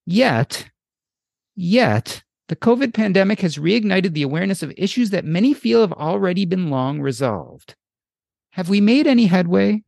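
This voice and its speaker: Lively gravelly middle-aged male host. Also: high voice, no echo, clean studio sound. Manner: reads a prepared intro in measured, emphatic phrases. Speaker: podcast host. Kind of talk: scripted intro then interview questions.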